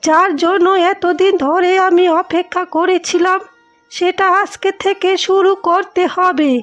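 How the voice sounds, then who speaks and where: Very high-pitched scheming elderly mother-in-law voiced by a woman. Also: fairly even intonation, a little slow. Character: scheming elderly mother-in-law, plaintive and sweetly two-faced. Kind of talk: cartoon dialogue acting. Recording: dull audio with music behind.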